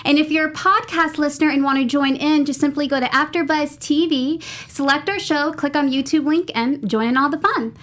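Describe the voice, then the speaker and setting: Female host, high voice, upbeat and informal, leading a casual TV recap panel. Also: fairly quick, few pauses, fairly bright tone. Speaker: talk show host.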